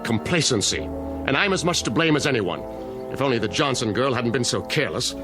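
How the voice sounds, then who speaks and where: A man's voice: mid-range voice, animated, over music, close to an everyday voice. A man playing an unflappable, formally polite small-town mayor with veiled menace in an audio drama. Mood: troubled, regretful. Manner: formal, courteous, measured.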